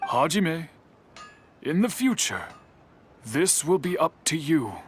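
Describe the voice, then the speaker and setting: An actor playing an older master craftsman who is stern but kindly, with a formal, measured way of talking in a video game. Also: a little high, sing-song, a little hiss or hum. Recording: game audio with music behind.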